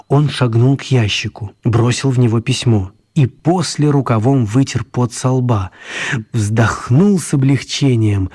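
A man's voice: mid-range voice, darkish tone. A man narrating a children's radio story in a scripted storytelling style.

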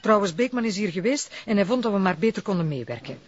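A woman's voice: medium pitch, animated, fast, muffled recording, close to an everyday voice. An actress with a Flemish accent playing a direct, level-headed wife of a policeman in a television crime drama. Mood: exasperated.

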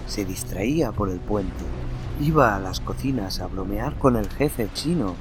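A man narrating a horror story in a measured, dramatic way, with lingering pauses. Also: on the low side, expressive. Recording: narration over background music.

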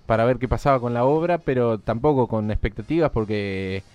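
A man's voice: mid-range voice, brisk, darkish tone, clean tone, dry recording. A man speaking casually in a radio interview as a theatre director and teacher.

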